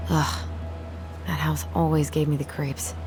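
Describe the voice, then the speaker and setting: A woman's voice: low voice, level tone, a little slow. A woman playing a caring, wry young sister in naturalistic, conversational video game dialogue. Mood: casual. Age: young adult. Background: game music.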